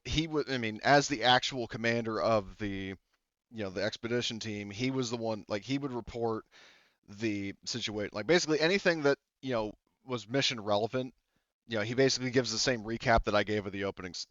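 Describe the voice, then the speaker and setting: A man's voice: on the low side, fairly quick, a bit bright, dry recording. A man running a tabletop game as game master, talking casually and rambling with many fillers and self-corrections. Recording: dull lo-fi dry mic.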